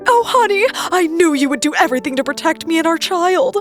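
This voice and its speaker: A woman's voice: very high voice, animated, fairly quick, faint music behind. A woman playing a clingy, pleading young model mistress with melodramatic delivery in a romance audio drama. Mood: grateful.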